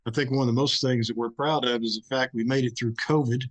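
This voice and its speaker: Mid-pitched man from Kentucky. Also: fairly even intonation, fast. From Kentucky, United States. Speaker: audio drama group leader, panelist. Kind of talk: casual self-introduction.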